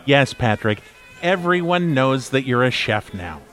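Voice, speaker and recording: A man's voice: mid-range voice, animated, measured pace, a bit bright, faint music behind. Man playing a dry, exasperated podcast host. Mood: exasperated, sarcastic. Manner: dry, sarcastic, long-suffering. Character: exasperated, sarcastic male podcast host herding his friends. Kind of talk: comedy audio drama acting.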